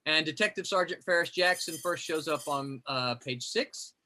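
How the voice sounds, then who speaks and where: A man's voice: on the high side, moderate pace, bright, forceful tone. A man hosting a group reading on a video call, explaining the rules in a chatty, rambling way. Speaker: host.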